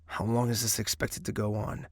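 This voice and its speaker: An actor playing a weary, guarded young prince in an audio drama. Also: male voice, lowish voice, flat delivery, normal speed, breathy edge, no room sound, clean studio sound. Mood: anxious. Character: wary, weary young prince recovering from confinement.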